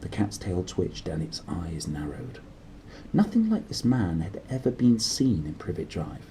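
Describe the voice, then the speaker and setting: A man narrating a children's storybook aloud in an expressive style. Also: sits low, measured pace, background noise. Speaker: storybook reader.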